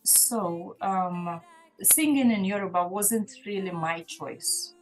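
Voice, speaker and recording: A woman's voice: mid-range voice, expressive, slow, soft background music, rough recording. A woman, a gospel singer speaking as an interview guest in an earnest, reflective way, often restarting her sentences. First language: Maltese. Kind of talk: casual interview chat.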